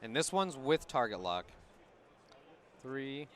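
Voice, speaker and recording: A man's voice: medium pitch, very animated, slow, a bit bright. A man co-commentating a tabletop game live at a convention, who keeps ending his remarks with "right?". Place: convention center hall.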